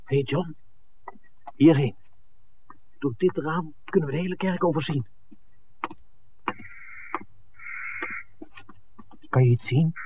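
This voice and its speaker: A man's voice: fairly high pitch, moderate pace, breathy edge. An actor playing a questioning, discreet film man in a 1960s radio play, with an understated way of talking. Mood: cautious, curious. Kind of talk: 1960s radio drama acting. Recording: muffled old radio broadcast, faint hiss.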